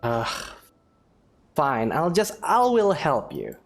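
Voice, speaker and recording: A woman's voice: low-pitched, huge pitch swings, slow, creaky, loud music behind the voice. A woman talking casually and bluntly, with an offhand, sighing manner.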